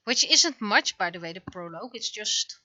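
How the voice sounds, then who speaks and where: A woman's voice: mid-range voice, animated, normal speed, sharp tone, dry recording. A woman giving casual livestream commentary as she shows her own creative work. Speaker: livestreamer, webcomic and visual novel creator.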